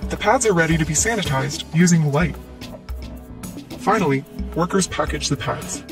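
A man giving scripted documentary narration in an even, explanatory way. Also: a little high, normal speed. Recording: distant voice with clear music bed.

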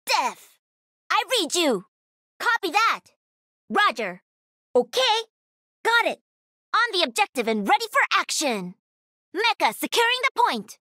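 An actress playing a cocky, playful young pro-gamer mech pilot in a video game, with peppy, punchy delivery. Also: female voice, very high voice, sing-song, measured pace, long pauses, bright, forceful tone, no echo, studio quality, heavily acted. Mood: confident, commanding. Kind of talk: video game character voice lines.

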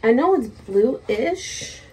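A woman's voice: fairly high pitch, big pitch swings, drawn-out words, mellow, slight background noise. A woman in her thirties chatting casually in a rambling, sweary personal vlog recorded in a room at home. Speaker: vlogger. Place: small indoor room at home.

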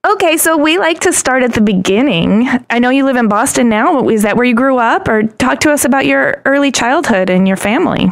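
A woman's voice: sits high, expressive, fairly quick, creaky, few pauses. A woman co-hosting a design podcast, teasing the guest and asking probing questions. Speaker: podcast co-host. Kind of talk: casual interview chat.

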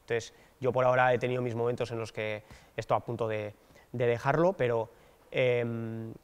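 A man in his thirties, a political candidate, giving thoughtful, hesitant interview answers with many fillers and restarts on a dark studio set. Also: medium pitch, steady tone, moderate pace, clean tone, in a large hall. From Basque Country, Spain.

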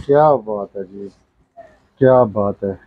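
A man's voice: fairly low pitch, slow, no echo, phone-quality sound. A man in his late thirties hosting a shop visit, enthusiastic and joking, full of exclamations. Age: 30s. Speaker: vlog host. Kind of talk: casual shop visit chat. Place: busy indoor dress shop.

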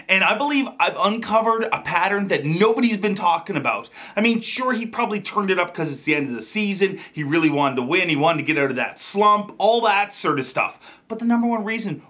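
A man hosting a show, delivering comedic news commentary in a jokey, exaggerated way with emphatic pauses and asides. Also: sits high, fairly bright tone. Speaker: fishing show host.

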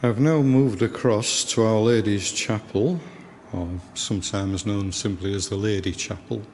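Slow-spoken Northern English man. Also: lowish voice, fairly even intonation. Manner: slow, gentle, explanatory. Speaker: church tour guide. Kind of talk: unscripted guided tour voice-over. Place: inside a large church. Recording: reverberant church hall, faint background noise.

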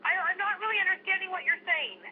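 A woman's voice: very high voice, fast. A woman in a casual phone conversation, polite and matter-of-fact, sounding a little puzzled. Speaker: private seller taking a phone call. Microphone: telephone heard over speaker. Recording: tinny phone line with faint noise.

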